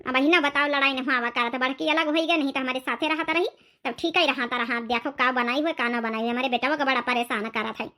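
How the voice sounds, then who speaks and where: A woman's voice: high voice, quick pace, pushed and bright. A woman voicing a weary old village grandmother in an Awadhi cartoon, grumbling plaintively about the household. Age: older.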